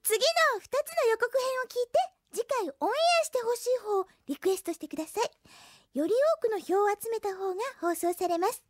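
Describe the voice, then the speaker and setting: A woman's voice: very high voice, a little fast, no echo, clean studio sound. A woman playing a polite, sweet young radio sidekick with girlish delivery, announcing in an audio drama.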